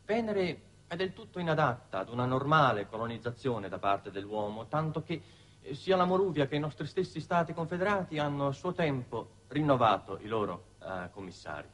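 An actor playing a deferential undersecretary making a careful, formal, hesitant report in a 1960s Italian television drama. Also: male voice, medium pitch, very animated, brisk. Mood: deferential, cautious. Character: deferential bureaucratic male undersecretary reporting on Venus. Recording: noisy dated 1960s television soundtrack.

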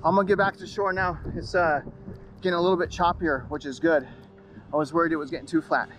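A man's voice: on the high side, steady tone, fast, fairly bright tone. A man in his forties talking casually to viewers as host of an outdoor fishing vlog, repeating himself for emphasis. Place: outdoors on an open beach and in a kayak at sea.

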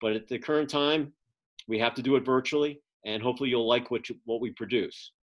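A man's voice: medium pitch, fairly quick. Middle-aged man on a video call. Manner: rambling, frequent "uh" fillers. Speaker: magazine publisher and event producer. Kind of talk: casual update on a video call. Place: home office with bookshelves. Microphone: video call webcam.

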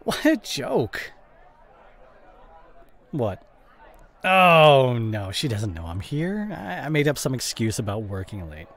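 A man acts out an evasive boyfriend who drinks, in an audio roleplay. His mid-pitched voice moves up and down a lot, and he talks slowly and hesitantly, on the defensive. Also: sing-song, a bit bright, a faint crowd, full character voice. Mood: tipsy, dismissive. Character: evasive drinking boyfriend, dismissive then guilt-stricken. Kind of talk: audio roleplay acting, one-sided conversation.

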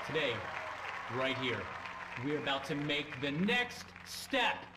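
An actor playing a smug, grandiose young tech founder giving a satirical game keynote, pompous, with emphatic pauses between phrases. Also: male voice, on the high side, sing-song. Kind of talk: satirical product launch keynote, game cutscene. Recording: echoey hall with crowd noise.

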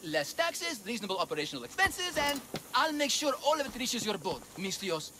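A man's voice: very high-pitched, sing-song, fairly quick, background noise. An actor playing a jovial, greedy male arena showman in a video game, boisterous and salesy.

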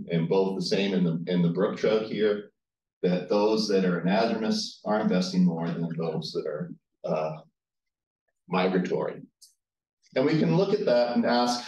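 A middle-aged man with a deep, low voice lectures at a conference, explaining step by step. He speaks into a handheld mic in a roomy hall, and the sound reaches the recorder from some distance. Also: darkish tone. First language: English. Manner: explanatory, measured with fillers. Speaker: invited speaker. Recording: echoey hall, from far off.

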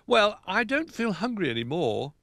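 Male reader as small boy bear, high, plaintive, earnest, childlike, animated, storybook. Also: normal speed, dry recording, clean studio sound, heavily acted. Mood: tired, quiet.

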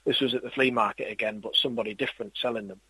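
Casual male interviewer, husky tone, meandering delivery. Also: mid-range voice, fairly even intonation, racing, sharp tone, no room sound, low-quality recording. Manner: rambling, hesitant, many restarts and fillers. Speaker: interviewer and record collector.

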